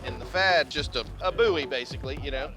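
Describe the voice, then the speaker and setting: A man's voice: mid-range voice, a little fast, over music. A man who runs a fishing boat, plain-spoken, joking in chat and coaching anglers on deck. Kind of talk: casual chat and on-deck instructions.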